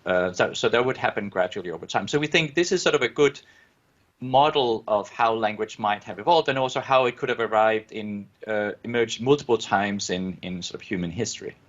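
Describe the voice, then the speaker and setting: A man's voice: fairly low pitch, brisk. A man with a Danish accent, a native Danish speaker, explaining research in an interview, with many fillers and restarts. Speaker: guest researcher. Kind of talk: academic interview answer. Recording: dull lo-fi audio.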